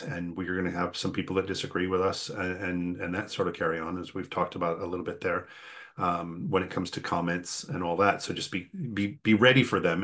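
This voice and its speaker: A man hosting an online workshop in a conversational, halting way, often repeating words as he goes. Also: deep voice, a bit bright. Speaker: host.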